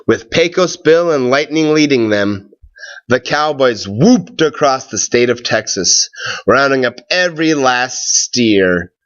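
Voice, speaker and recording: A man reads a children's story aloud at a slow, deliberate pace. His pitch moves up and down in a lively way as he stretches words and pauses between phrases. The recording sounds a little dull and lo-fi. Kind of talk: read-aloud of a children's tall tale.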